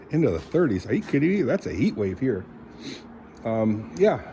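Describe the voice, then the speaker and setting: A man in his seventies, born in Indonesia, talking in a rambling, halting way, full of fillers and frequent throat clearing. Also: mid-range voice, big pitch swings, mellow, slight background noise. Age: 70s. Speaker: vlogger. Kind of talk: rambling casual monologue.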